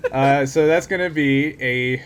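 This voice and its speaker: A man's voice: medium pitch, measured pace, slight background noise. A man acting as game master, talking casually as he makes rulings in a tabletop role-playing game.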